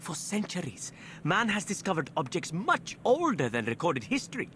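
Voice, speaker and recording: An actor playing a wry, erudite academic, lecturing and musing in video game dialogue. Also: male voice, sits high, huge pitch swings, normal speed, pushed and bright, slight background noise, heavily acted. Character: wry, erudite male academic expounding a pet theory.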